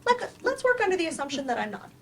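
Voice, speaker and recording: A woman's voice: extremely high pitch, sing-song, quick pace, slight background noise, heavily acted. A woman in a staged play reading, playing a firm, probing therapist with a direct, dry manner. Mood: probing, coaxing. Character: blunt, probing female therapist, patient but firm.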